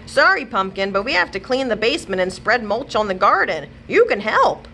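A woman voicing a busy grandfather in a storybook who turns into a slow zombie. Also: a little high, very animated, sharp tone, a little hiss or hum, voice pushed up, exaggerated voice. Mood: brisk.

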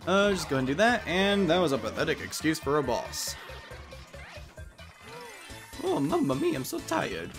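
A man's voice: fairly high pitch, huge pitch swings, moderate pace, pauses often, music in the background. A man giving casual let's play commentary on a video game, with jokey, playful asides.

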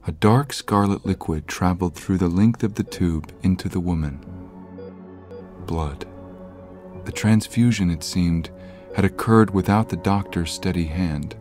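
A man's voice: deep voice, a little slow, a little mellow. A man narrating a scripted horror story as the narrator of an audio drama. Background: music.

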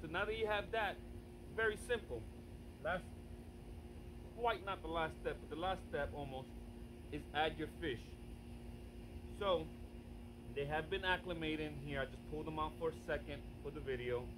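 A relaxed man in his thirties talks his viewers through a hands-on backyard project in an easygoing, conversational way. He is picked up by a camera standing a few steps off outdoors, and steady outdoor noise with passing traffic runs under his voice. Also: fairly high pitch, moderate pace, pauses often. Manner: relaxed, chatty, addresses viewers directly. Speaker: fish breeder vlogger. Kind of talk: casual how-to vlog. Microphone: far camera on a tripod.

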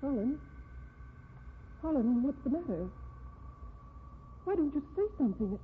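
A woman's voice: high-pitched, lively intonation, measured pace. An actress playing a haughty, self-possessed married society woman with a grand manner in a 1950s radio mystery. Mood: alarmed. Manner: grand, affronted. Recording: tinny, noisy old radio recording.

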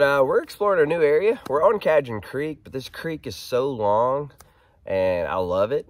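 A man in his thirties with a hoarse, froggy voice from a head cold, chatting casually as he fishes from a kayak on a creek, filmed by a pole-mounted camera. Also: on the low side. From Arkansas. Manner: chatty and upbeat, excited while reeling in fish. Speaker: fishing vlogger. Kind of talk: casual outdoor vlog. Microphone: action camera on a pole mount.

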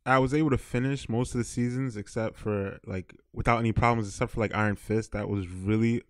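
A man's voice: lowish voice, fairly even intonation, brisk, no echo, studio quality. A man co-hosting a podcast, giving opinions in a casual, conversational way. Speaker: podcast co-host.

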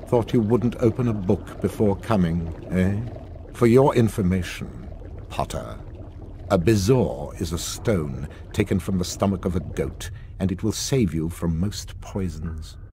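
A man's voice: low voice, mellow, slight background noise. A man with a British accent playing a stern, contemptuous middle-aged professor in a video game, silky and condescending. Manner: slow, deliberate, condescending. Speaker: teacher. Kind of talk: video game character acting.

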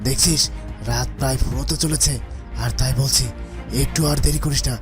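An actor playing an impatient, ruthless robber's accomplice in a fairy-tale cartoon, talking in an urgent, goading way. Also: male voice, medium pitch, steady tone, a little fast, music in the background, lightly acted. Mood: urging, ruthless.

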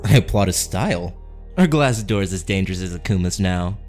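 A man's voice: low voice, lively intonation, fairly quick, a little mellow, soft background music. A young man voicing a cocky but lovesick cat-themed teenage superhero in a comic dub, wry, playful and hesitant. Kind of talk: animated-style comic dub acting.

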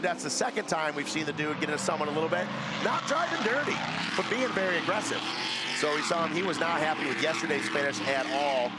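Folksy race analyst over engines. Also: male voice, a little high. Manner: folksy, emphatic analysis with rhetorical questions. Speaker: color commentator. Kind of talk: motorsport color commentary. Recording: broadcast voice over loud engine noise.

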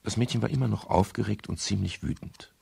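A man playing a polite but persistent, weary private detective in a 1970s German radio crime drama, with a husky, gravelly voice. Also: on the low side, fast, darkish tone, slight background noise. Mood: matter-of-fact narration. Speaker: actor, lead and narrator.

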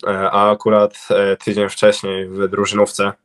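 Young man, low flat halting voice. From Poland. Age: young adult. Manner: halting, many fillers and restarts. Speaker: interviewed speedway cycling rider. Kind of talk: casual interview answers. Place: indoor room at home. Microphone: video call webcam.

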